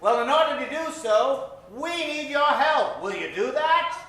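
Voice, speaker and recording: A man's voice: very high voice, expressive, a little slow, noisy background. A man hosting an improv comedy show, projecting theatrically as he asks a live audience for suggestions. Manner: theatrical showman, projecting to a crowd. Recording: very echoey theater, distant mic.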